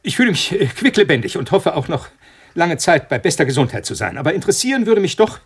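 A man's voice: fairly high pitch, sing-song, fast, breathy edge. A man playing an irritated, rattled scientist in a radio drama, impatient and defensive in his replies. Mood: wary, defiant. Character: irritated, rattled male scientist defying a threatening caller.

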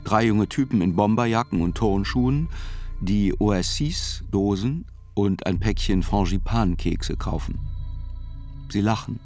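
A man reading a scripted literary chronicle as narrator, in a measured, deliberate and articulate way. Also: on the low side, a little mellow, soft background music.

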